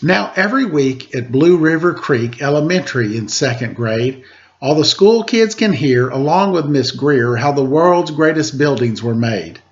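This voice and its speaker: A man's voice: a little high, lively intonation, moderate pace, dull audio, a little hiss or hum. A man hosting a storytime for children, reading aloud and chatting casually to his young listeners.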